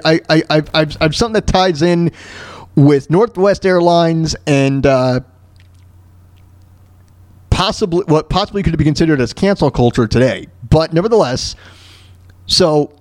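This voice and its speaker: Halting, high-pitched man talking solo. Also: a little mellow, no room sound. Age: 30s. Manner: halting, repetitive delivery with frequent throat clearing. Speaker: talk show host. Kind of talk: casual monologue, ranting and personal storytelling.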